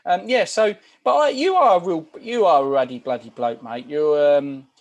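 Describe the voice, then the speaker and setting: Higher-voiced joking British man. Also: moderate pace. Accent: British English. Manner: joking, mocking. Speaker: podcast co-host. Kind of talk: casual banter.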